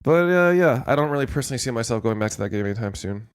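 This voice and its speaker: A man's voice: medium pitch, lively intonation, racing, clear, resonant tone, dry recording, studio quality. Congested young man chatting about games. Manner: rambling, lots of fillers and swearing. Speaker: podcast co-host. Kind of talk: casual gaming podcast chat.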